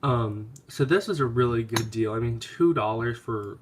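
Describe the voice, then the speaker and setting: A man's voice: medium pitch, moderate pace, a little hiss or hum. A man with a stuffy, nasal voice from clogged sinuses, chatting casually and hesitantly through a haul video at home, with many ums along the way. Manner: rambling, hesitant, full of ums. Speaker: candle vlogger.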